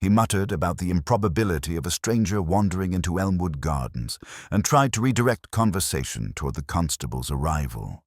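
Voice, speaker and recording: A man with an extremely low voice narrates a mystery story in measured, literary fashion. His pitch moves in lively swells and dips across each phrase. The recording is dry and close, with little sense of a room. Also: no room sound. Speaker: audiobook narrator.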